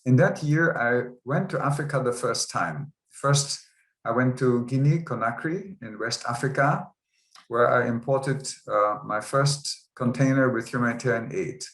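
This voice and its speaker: A man's voice: medium pitch, measured pace, fairly bright tone. Man in his sixties, roomy sound. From Germany. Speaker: guest. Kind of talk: interview storytelling. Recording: roomy echo.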